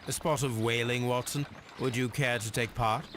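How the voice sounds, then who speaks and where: An actor playing a brilliant, precise English gentleman detective in a video game, speaking formally and coolly. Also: medium pitch, fairly even intonation, a little hiss or hum, close to an everyday voice. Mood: calm, purposeful. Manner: formal, measured, coolly deductive delivery. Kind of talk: scripted video game dialogue.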